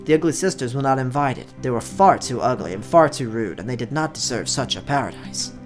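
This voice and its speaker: A mid-pitched voice belonging to a let's play host, with music clearly playing underneath. The delivery is that of an expressive storyteller sharing a visual novel with viewers. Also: brisk. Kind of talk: expressive story narration, read aloud. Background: background music.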